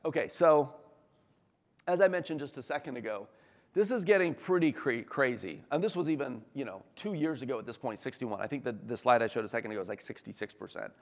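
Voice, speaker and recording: A man giving an informal business presentation as a company executive, enthusiastic, conversational and emphatic, with a slightly hoarse voice. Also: medium pitch. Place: large room, talk before an audience. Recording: echoey hall with long reverb.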